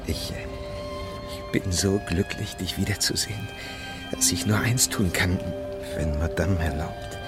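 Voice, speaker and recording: An actor playing an earnest, emotional young French Egyptologist in a German radio play. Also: male voice, deep voice, a little slow, long pauses, loud music behind the voice. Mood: tender, smitten.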